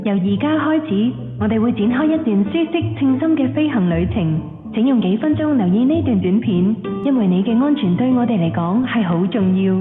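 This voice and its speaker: A woman's voice: a little high, expressive, moderate pace. A woman reading scripted airline safety narration, in a dull, echoey recording with music behind.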